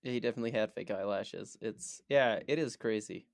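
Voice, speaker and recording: A man's voice: on the low side, a little fast, creaky, no room sound, studio quality. A man co-hosting a podcast in casual chat, playful and rambling, often restarting his sentences. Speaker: podcast co-host.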